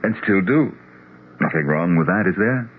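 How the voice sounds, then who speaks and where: An actor playing an earnest, obsessed doctor, genial but insistent, in a 1970s radio drama. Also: male voice, low voice, expressive, normal speed, narrow, tinny audio. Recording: thin, hissy 1970s radio recording.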